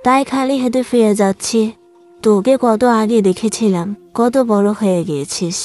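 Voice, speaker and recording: A woman's voice: mid-range voice, normal speed, a little mellow, soft background music, close to an everyday voice. A woman voicing a friendly, mildly grumbling older village boy in a cartoon. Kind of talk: cartoon voice acting.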